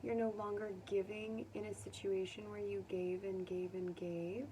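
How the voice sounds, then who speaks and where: A woman giving a casual tarot reading to viewers, speaking gently and consolingly in an informal way. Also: medium pitch, level tone, moderate pace. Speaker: tarot reader. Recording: distant mic, faint background noise.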